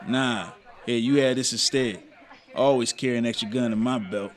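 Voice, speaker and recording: A man acts out a bullying gunslinger from a radio western. He drawls in a folksy way at a medium pitch, taunting and full of menace. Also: moderate pace, some chatter behind, natural voice. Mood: taunting, menacing. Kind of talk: old-time radio western acting.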